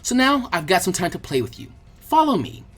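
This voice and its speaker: Teenage kunoichi for audio story, played by a narrator: self-assured, mocking, lively intonation. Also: medium pitch, a little fast. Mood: amused taunting. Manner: taunting, amused, condescending. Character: teasing, confident teenage kunoichi girl, condescending. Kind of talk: expressive fan fiction character reading.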